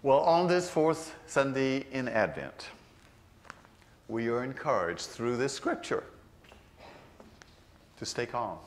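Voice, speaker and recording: An older man, a priest, delivering a sermon in a large church, slowing down to stress key phrases. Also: mid-range voice. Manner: unhurried, earnest preaching with slowed emphatic phrases.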